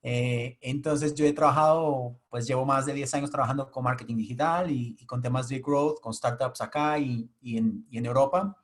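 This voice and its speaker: A man's voice: mid-range voice, fairly even intonation, moderate pace, hardly any pauses. A man in his thirties, a native Portuguese speaker with a Portuguese accent, giving an explanatory webinar talk to an audience over a video call. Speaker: guest speaker. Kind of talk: webinar presentation.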